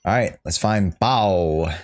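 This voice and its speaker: Low-pitched man giving blunt, casual reaction commentary. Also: slow. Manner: blunt, swearing, repeats phrases as he reasons aloud. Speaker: streamer reacting to a video game story.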